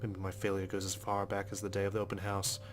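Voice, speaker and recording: A low-voiced man narrates a visual novel for a let's play at a quick clip. His delivery stays even, with little rise and fall, and he drops in casual remarks between the scripted lines. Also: a little hiss or hum. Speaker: let's player. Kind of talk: reading a visual novel aloud with casual commentary.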